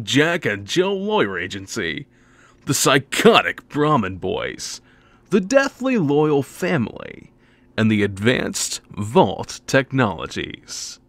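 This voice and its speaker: A man's voice: medium pitch, gravelly, a bit bright, no room sound. A man reading a scripted game preview narration with theatrical, wry, emphatic phrasing. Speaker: video game reviewer and narrator.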